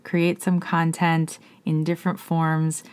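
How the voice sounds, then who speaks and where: A woman's voice: low voice, completely flat delivery, slow, a little mellow, clean tone. A woman hosting an interview podcast, speaking in both a prepared presenter style and a conversational one. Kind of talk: scripted introduction and conversational interview.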